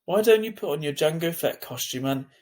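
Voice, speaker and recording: A man voicing a caring, patient older sibling who speaks softly and coaxingly in a children's novel read aloud. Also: fairly high pitch, fairly quick, voice pushed up, close to an everyday voice. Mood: calm coaxing. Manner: soft, calm, coaxing.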